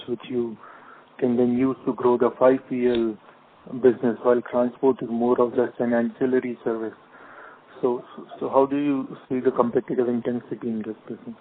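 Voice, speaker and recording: Male analyst, mid-pitched, polite questioner who stumbles a little, heard down a telephone connection. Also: a little hiss or hum. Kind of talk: earnings call questioning. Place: conference call. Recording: thin phone line.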